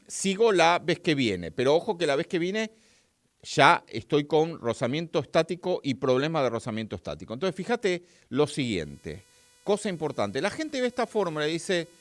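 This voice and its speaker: A man's voice: a little high, no room sound, clean studio sound. A man with an Argentine accent giving a chatty, live-streamed physics lesson, talking straight to his viewers. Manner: chatty, talks straight to viewers and reads their chat. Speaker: physics teacher.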